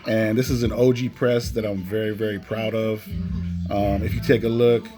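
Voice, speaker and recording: A man's voice: on the low side, flat delivery, normal speed. A man casually presenting a collection in an informal way, often ending phrases with "man". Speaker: music collector presenting cassettes. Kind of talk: casual collection showcase commentary. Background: music playing behind the voice.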